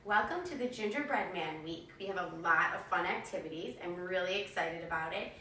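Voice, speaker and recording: A woman telling a story to young children in an animated storytelling style. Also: lowish voice, brisk. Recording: echoey room, distant microphone.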